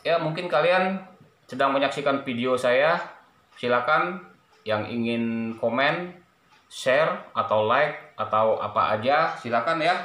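A middle-aged man chatting casually in a halting way, as a vlogger showing items in a small room at home. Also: mid-range voice, a bit bright. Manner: halting, repeats short phrases with frequent "ya" tags. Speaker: vlogger showing a cassette collection. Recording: echoey room, clear background noise.

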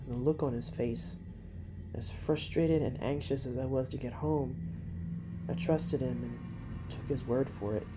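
A narrator reading a first-person true story in a measured way, pausing between short phrases. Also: medium pitch, rough recording.

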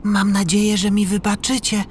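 A man voicing an earnest, determined young male humpback whale in an audiobook, in a hushed manner. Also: sits high, flat delivery, moderate pace, a little hiss or hum, pitched above the performer's own voice, close to an everyday voice. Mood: resolute, wistful. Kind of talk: audiobook character acting.

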